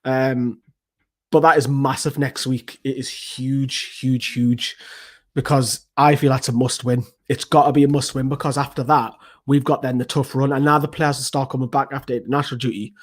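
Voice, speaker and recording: A man, hoarse from losing his voice, giving an excitable, rambling post-match football analysis as a fan channel host. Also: mid-range voice, moderate pace. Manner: excitable, swears freely, rambling restarts.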